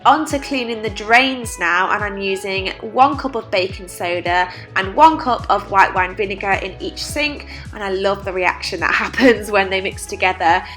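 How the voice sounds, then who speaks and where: A woman's voice: mid-range voice, normal speed, few pauses, a bit bright, faint music behind. A young woman with a stuffy, congested voice from a cold, chatting casually in a voice-over. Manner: chatty, with frequent "like" fillers. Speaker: cleaning vlogger.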